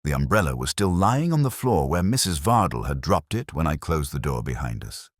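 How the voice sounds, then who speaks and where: A man with a very deep voice narrates a detective story in the first person. His delivery is wry and hardboiled, with wide swings in pitch, and the recording is dry and close. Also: very animated, a little fast, no room sound. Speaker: audiobook narrator.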